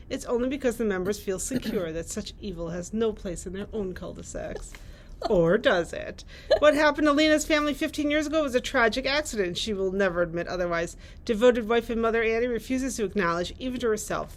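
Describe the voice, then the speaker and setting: Female librarian, medium pitch, introducing books and reading their summaries in a conversational setting. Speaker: librarian presenting book picks. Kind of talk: reading book summaries with casual chat.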